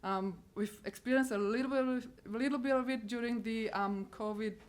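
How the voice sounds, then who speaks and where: A middle-aged woman with a Czech accent, a missionary, giving a personal testimony to an audience and often pausing to search for words. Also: a little high. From Czech Republic. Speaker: missionary speaker.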